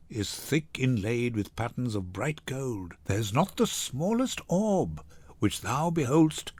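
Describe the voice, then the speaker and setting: Man playing a tender, poetic gentleman lover. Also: medium pitch, no room sound, pitched below the performer's own voice. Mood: tender, rapt. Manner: lyrical, measured, tender. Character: Lorenzo, romantic, poetic young gentleman lover. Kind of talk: dramatic Shakespeare reading.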